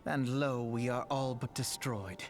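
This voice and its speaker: A man's voice: medium pitch, a little slow, natural voice. An actor playing an earnest, self-assured young nobleman in a fantasy game, speaking in formal, archaic phrases. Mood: grave, resolute. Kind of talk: theatrical fantasy game voice acting. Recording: music behind the voice.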